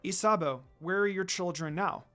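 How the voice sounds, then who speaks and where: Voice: mid-range voice, moderate pace, sharp tone, soft background music, close to an everyday voice. A reader voicing a kind young woman healer in a fan fiction story, earnest and reassuring in manner. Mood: curious.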